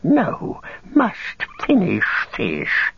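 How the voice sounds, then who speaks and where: A man voicing a wretched, wheedling, spiteful creature in a strained hiss, muttering in drawn-out broken phrases as in a storybook reading. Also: high-pitched, wildly swooping pitch, vocal fry, slightly rough, dry recording, dull audio, pitched above the performer's own voice, exaggerated voice. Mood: sullen. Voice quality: hissing, strained, whispery at times. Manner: drawn-out muttering, talks of itself in broken grammar.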